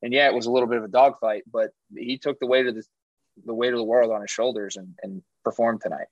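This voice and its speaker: A man's voice: mid-range voice, steady tone, fast, no echo. Dull lo-fi male co-host, chatty. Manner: chatty, interjecting, uses slang. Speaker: podcast co-host. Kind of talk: casual sports chat. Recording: dry, dull lo-fi sound.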